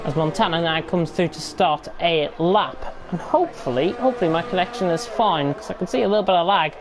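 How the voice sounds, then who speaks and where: A woman commentating live on an online racing league, croaky with a flu and rambling with frequent self-corrections. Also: fairly low pitch, brisk. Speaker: race commentator.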